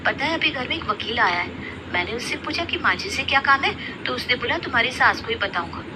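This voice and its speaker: Spoiled rich younger sister-in-law for a story drama, female performer: high voice, showy, accusing, noisy recording. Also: lively intonation, brisk, close to an everyday voice. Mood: suspicious. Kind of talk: Hindi moral story acting.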